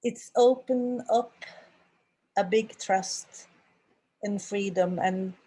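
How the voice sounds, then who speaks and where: A woman's voice: medium pitch, takes it slowly, mellow, husky, rough recording. A woman with a Norwegian accent shares a reflection on a group video call, speaking hesitantly and searching for her words in English. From Norway.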